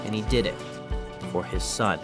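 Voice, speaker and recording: A man's voice: lowish voice, moderate pace. A man narrating a sports feature story in a scripted, storytelling way. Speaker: sports reporter. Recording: narration over loud music.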